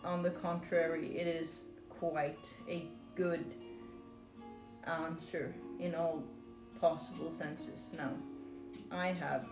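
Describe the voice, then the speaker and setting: A woman's voice: sits low, steady tone, slow. A woman from Norway, chatting casually to live stream viewers in a hesitant, rambling, playful way. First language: Norwegian. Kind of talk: casual chat with stream viewers while crocheting. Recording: roomy echo with music behind. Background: music.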